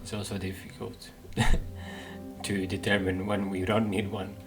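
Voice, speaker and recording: A man hosts a game playthrough in a fairly low voice. He muses casually and hesitantly, thinking aloud, while game music plays clearly behind him. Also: little pitch movement, a little slow. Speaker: gaming video host.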